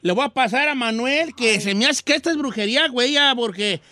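Man putting on a very high-pitched folksy Mexican radio host. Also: brisk, bright, forceful tone, no room sound. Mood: chatty. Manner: folksy, slangy, teasing, stammering. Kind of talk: casual radio banter with callers.

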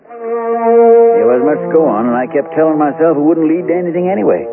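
An actor playing a steady, dogged small-town sheriff in a radio western, with a folksy, measured way of talking. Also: male voice, on the high side, phone-quality sound. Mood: brooding narration. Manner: folksy, plain-spoken, measured. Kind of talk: 1970s radio western acting and first-person narration. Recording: thin band-limited radio recording, music behind.

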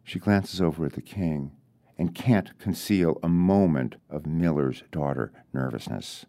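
A man reading his own literary fairy tale aloud in a measured, deliberate way, with dramatic pauses. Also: very deep voice, dark tone. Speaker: author reading his own short story.

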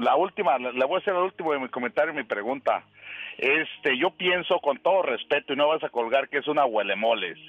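A man's voice: on the high side, no echo. A man calling into a radio show, heated and tripping over repeated words. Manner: heated, stumbling repetitions. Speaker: caller. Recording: dry tinny phone line.

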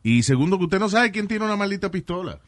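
A male radio host telling stories in casual, animated chat, switching between languages and laughing. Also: high voice, fast talker, no room sound.

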